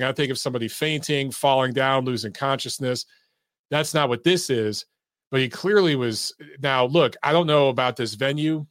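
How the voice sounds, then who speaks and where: A man's voice: medium pitch, a bit bright, no room sound, studio quality. A man from Detroit hosting a call-in sports show, talking in a chatty, emphatic way. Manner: chatty, emphatic, swears casually. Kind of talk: casual sports talk.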